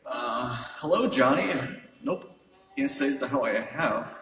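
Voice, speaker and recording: A man playing a shifty lowlife street informant in a noir radio drama, slangy and ungrammatical. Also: measured pace, a bit bright, lightly acted. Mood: evasive. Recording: echoey hall, distant mic.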